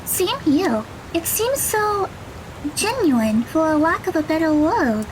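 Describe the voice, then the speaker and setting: Squeaky, earnest little girl. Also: sing-song, takes it slowly, slight background noise, heavily acted, low-quality recording. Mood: sincere, puzzled. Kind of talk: audio drama acting.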